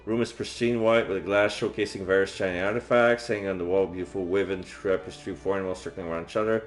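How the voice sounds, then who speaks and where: A man with a slightly low voice reads game text aloud as a streamer. He mixes narration with casual asides, and faint game music sits behind him. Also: fairly even intonation, quick pace. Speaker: streamer playing a visual novel.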